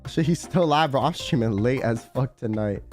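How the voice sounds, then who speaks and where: A man's voice: medium pitch, fairly quick, a little mellow. A young man streaming a casual late-night chat, rambling in slang and reading donations aloud. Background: faint background music.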